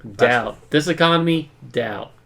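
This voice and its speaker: A man's voice: a little high, expressive, measured pace, fairly bright tone. A man co-hosting a casual podcast, making dry, contrarian remarks among friends. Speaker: podcast co-host. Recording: noisy room, clear background hiss.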